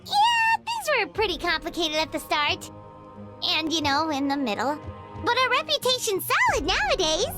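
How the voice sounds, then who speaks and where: A woman voicing a tiny, chatty, timid girlish companion in a game, with a high squeaky childlike voice and hesitant rambling asides. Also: huge pitch swings, normal speed, exaggerated voice. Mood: sheepish. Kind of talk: game voice acting. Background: game music.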